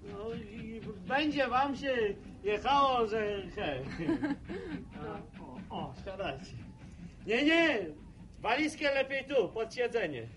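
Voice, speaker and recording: A folksy country wagon driver in a radio drama. His voice is high and unhurried, and he chatters in repetitive, stumbling banter, with bustle behind him. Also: lively intonation, crowd noise, full character voice, rough recording. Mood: bustling, cheerful. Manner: chatty, repetitive, stammering banter with folk sayings. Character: talkative, folksy village man driving a horse wagon. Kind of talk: radio play acting.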